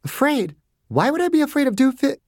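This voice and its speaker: A narrator voicing a confident, no-nonsense woman doctor, with a much higher voice than the narration. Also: big pitch swings, darkish tone, dry recording, studio quality. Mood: brisk. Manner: brisk, firm.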